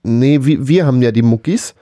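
A man's voice: medium pitch, moderate pace, mellow, no room sound, clean studio sound. A man co-hosting a podcast, chatting casually and jokingly. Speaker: podcast co-host.

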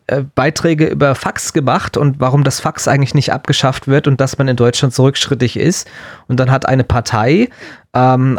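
A man's voice: medium pitch, no room sound, studio quality. A man chatting casually and jokingly as a podcast co-host. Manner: joking, colloquial. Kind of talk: casual chat, bantering Q&A.

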